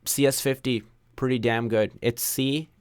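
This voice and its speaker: Smooth-voiced man chatting on a livestream. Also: medium pitch, steady tone, unhurried. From Pakistan. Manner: chatty, reads viewer names and questions aloud. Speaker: online course instructor. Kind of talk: casual livestream Q&A.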